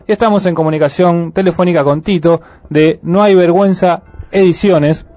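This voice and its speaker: A man's voice: high-pitched, steady tone, moderate pace, a little mellow, dry recording, faint music behind. A man introducing and interviewing a phone guest on the radio. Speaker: radio presenter.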